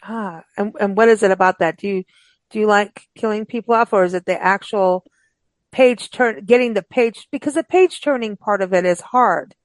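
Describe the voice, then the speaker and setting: A woman's voice: medium pitch, normal speed, dry recording. A talkative woman hosts a casual interview show. Her voice sits in a middle register and she rambles informally from thought to thought. The sound is dry, with very little room in it. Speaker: host of a books radio show.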